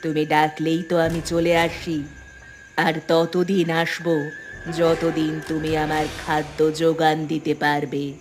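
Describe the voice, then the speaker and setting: Drowned wife's ghost, eerie, demanding, deep and slow, cartoon style, soft music. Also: female voice, level tone. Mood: calm, commanding. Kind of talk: Bengali cartoon horror voice acting.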